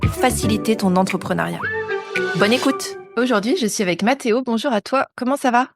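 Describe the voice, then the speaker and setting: A woman's voice: a little high, a little fast, loud music behind the voice. A woman hosting a podcast, talking in a friendly, chatty way as she interviews a guest. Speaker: podcast host. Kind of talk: casual interview chat.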